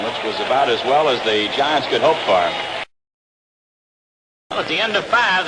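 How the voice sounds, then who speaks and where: A man's voice: mid-range voice, noisy background. An American man calling baseball play-by-play in the style of a 1960s radio broadcast, recorded outdoors at a ballpark. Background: loud stadium ambience.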